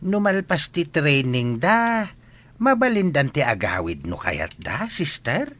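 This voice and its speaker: This is an elderly Filipino grandfather in a radio drama, plaintive and humble. His voice is low-to-middling but sharp and bright, and it swings widely as he asks his hesitant questions. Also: sing-song, slow pace, exaggerated voice. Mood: polite, worried. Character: elderly worried grandfather, deferential to the nun.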